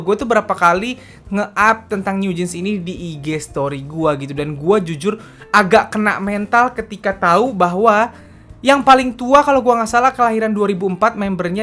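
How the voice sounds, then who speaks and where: A man's voice: high voice, fairly bright tone. A man, chatting casually and candidly as a K-pop news vlogger. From Indonesia. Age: late 20s. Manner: chatty and confessional, slangy, often ends with "gitu". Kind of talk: casual solo vlog commentary.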